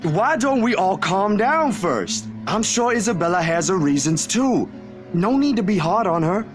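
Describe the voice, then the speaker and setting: Friendly mediator; casual, drawling, animated. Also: male voice, high-pitched, a little slow, music in the background. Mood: soothing. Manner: folksy, laid-back, says ain't. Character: easygoing peacemaking young man.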